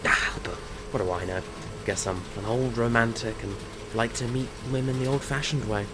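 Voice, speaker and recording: A man's voice: medium pitch, fairly even intonation, moderate pace, music in the background, close to an everyday voice. A man acting a cocky, flippant monster hunter in an audio drama, breezy and self-justifying as he talks. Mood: teasing, smug. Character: cocky, flippant male monster hunter, chain-smoking runaway groom.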